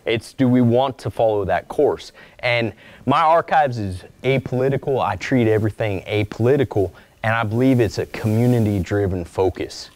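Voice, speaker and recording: A man's voice: mid-range voice, moderate pace. A man in his forties giving a casual lecture as an archivist, talking with slides in a lecture room and acting out little asides now and then. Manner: conversational, acts out little quoted asides; calls his own voice monotone. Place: mid-size lecture room, indoors.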